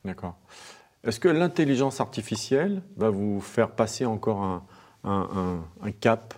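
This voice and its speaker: A man's voice: fairly low pitch, lively intonation, unhurried, a little mellow. A man hosting a conversational interview, explaining in a chatty way and tacking tag questions onto his remarks. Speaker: host.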